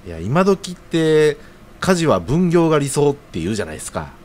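A man's voice: on the high side, sing-song, a little fast, a little mellow. An actor playing an earnest, hesitant young man in an audio drama, speaking in a casual, deferential junior's way. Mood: earnest, worried. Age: young adult.

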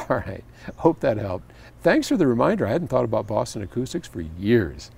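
Gravelly older man chatting on outdoor steps. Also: mid-range voice, big pitch swings, normal speed, mellow. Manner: chatty, rambling, with many fillers and restarts. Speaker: audio company founder answering viewer questions. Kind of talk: casual talk to camera. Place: outdoors on stone steps by a garden.

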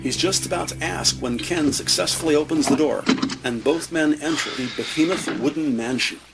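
A man narrating an audio drama from a script, describing scenes in measured present-tense storytelling. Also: a little high, vocal fry, poor audio. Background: music.

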